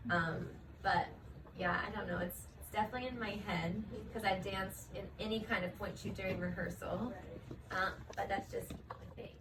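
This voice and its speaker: A woman's voice: mid-range voice, moderate pace. A woman, a professional ballet dancer, answering interview questions in a casual, chatty way, full of "like" and "um". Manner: chatty, frequent fillers like "like" and "um". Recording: distant mic with steady background noise.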